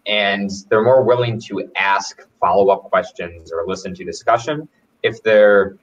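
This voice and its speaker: A man hosts a casual chat in a relaxed, thoughtful manner, his voice low. He sits away from the mic, and the audio sounds dull and lo-fi. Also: unhurried, far from the mic. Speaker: host.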